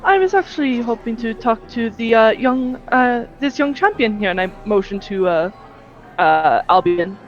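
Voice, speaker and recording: A woman's voice: fairly high pitch, lively intonation, moderate pace, faint music behind. A woman playing a character in a tabletop game, upbeat and friendly. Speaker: player. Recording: dull, distant mic.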